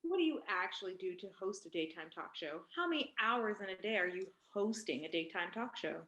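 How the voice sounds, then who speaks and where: A woman playing an insistent doctor who questions a talk-show host in a staged play reading, in pointed, deliberate phrases. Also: mid-range voice, lively intonation, a bit bright, muffled recording, natural voice. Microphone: video call microphone. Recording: echoey room on video call.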